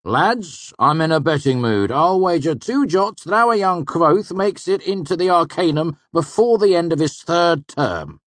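A man acts out an eccentric older student from an audiobook tale, blunt and wry. The voice is raised well above a low register and swings about animatedly, grumbling and teasing, in a dry close sound. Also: very animated, a little slow, few pauses, fairly bright tone, no room sound. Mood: playful wagering.

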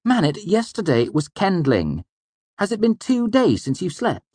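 A man's voice: high voice, huge pitch swings, unhurried, darkish tone, breathy edge, no echo, pitched above the performer's own voice, full character voice. A man voicing a fussing, exasperated young male student who chides his friend in a storybook. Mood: worried, chiding. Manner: pressing, chiding.

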